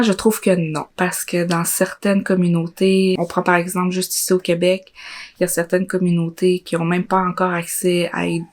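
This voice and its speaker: Young female interviewee, Quebec French accent. Lowish, monotone delivery, pausing to find words as she shares personal testimony. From Côte-Nord, Quebec, Canada. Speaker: interviewee, social work student.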